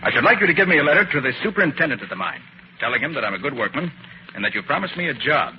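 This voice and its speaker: An actor playing a confident, unflappable master detective in an old-time radio drama, brisk, clipped and self-assured. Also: male voice, a little high, sing-song. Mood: cool, resolute. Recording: noisy, muffled old radio recording.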